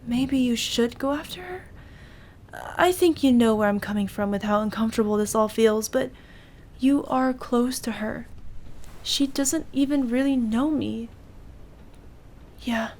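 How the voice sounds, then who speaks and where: A woman's voice: fairly high pitch, a little slow, long pauses, darkish tone, a little hiss or hum. A woman playing a sympathetic, uneasy young confidante in an audio roleplay, speaking earnestly and with some hesitation. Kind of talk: naturalistic audio roleplay acting.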